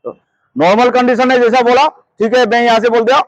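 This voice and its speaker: A man's voice: extremely high pitch, fairly even intonation, fairly quick, no echo, dull audio. A man teaching as a security trainer, repeating points and often checking that listeners follow. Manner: repetitive, checks understanding often. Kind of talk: hands-on instructional lesson.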